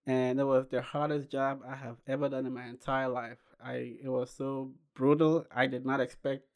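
A young man from Ghana who founded a clothing brand, telling his story unhurriedly as a podcast guest, with pauses and restarts. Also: mid-range voice, little pitch movement, a little mellow. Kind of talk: casual interview chat.

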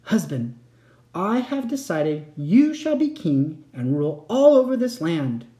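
A grand, demanding fisherman's wife from a storybook, put on by a reader. She is proud and bossy, with animated pitch and a roomy echo around her. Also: a little slow. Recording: roomy, echoing sound.

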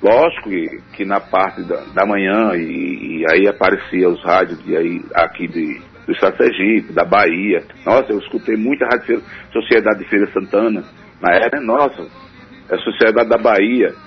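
A man's voice: low-pitched, moderate pace, creaky. A man, a forró singer guest answering in a radio interview in a rambling, hesitant way full of fillers. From Northeast Brazil. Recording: dull radio broadcast, faint music.

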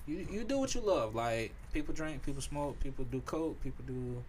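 A man's voice: fairly high pitch, moderate pace, slight background noise. A young man co-hosting a podcast, telling stories in loose, slangy casual chat. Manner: animated storytelling, slangy. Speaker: podcast host.

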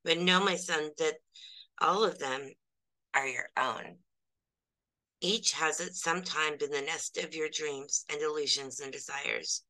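A woman voicing a calm, wise male spiritual teacher who speaks gently and patiently. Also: low voice, takes it slowly, full character voice, poor audio. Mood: reassuring.

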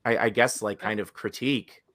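A man co-hosting a podcast, still raspy from illness, chatting animatedly with many restarts. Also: on the low side, fairly even intonation, fairly quick, a bit bright. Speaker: podcast co-host. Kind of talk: casual chat.

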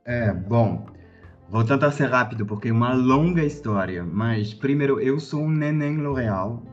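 A man's voice: mid-range voice, lively intonation, moderate pace, muffled recording, faint music behind. A man in his thirties from France with a French accent, a guest on a podcast telling his career story hesitantly, with many fillers and restarts. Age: 30s. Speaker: guest, corporate director.

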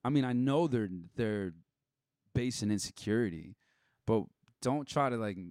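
A man's voice: medium pitch, expressive, normal speed, a little mellow, clear, resonant tone, dry recording, clean studio sound. A young man talking casually and candidly as a podcast guest, blunt and given to swearing, acting out bits of past conversations as he goes. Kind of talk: casual personal chat.

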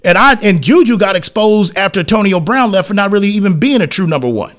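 A man with a notably high-pitched voice delivers an opinionated sports commentary monologue. He is blunt and emphatic, often repeating a phrase to drive it home. His wording is colloquial, full of slang and double negatives. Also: animated, fast talker, fairly bright tone. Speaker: sports talk show host.

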